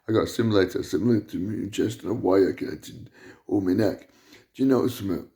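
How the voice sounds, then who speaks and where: A man with a loud voice, delivering an indignant, repetitive rant to camera as a vlogger. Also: fairly low pitch, fairly quick. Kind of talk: ranting monologue to camera.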